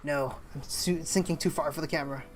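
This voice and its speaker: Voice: mid-range voice, fairly even intonation, a little fast. Hesitant, rambling game streamer over faint music. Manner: hesitant, rambling, thinking aloud. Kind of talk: casual livestream chat. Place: indoors at a streaming setup.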